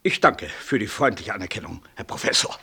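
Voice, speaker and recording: An actor playing a stiff, deferential medical professor in a German radio play, formal in manner. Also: male voice, fairly low pitch, lively intonation, a little fast, vocal fry, pushed and bright, breathy edge, full character voice.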